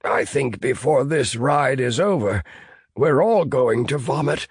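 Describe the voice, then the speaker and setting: A man's voice: mid-range voice, animated, a little slow, slightly rough, no room sound, voice pushed up. A man voicing a pale, dry-witted male captive with an exasperated manner. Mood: wry.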